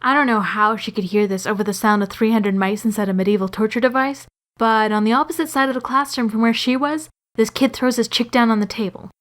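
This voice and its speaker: A woman with a fairly high voice tells scripted comic stories. Her delivery is sarcastic and given to exaggeration. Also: brisk. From Canada. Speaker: storyteller and animator. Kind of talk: scripted comedic storytelling narration.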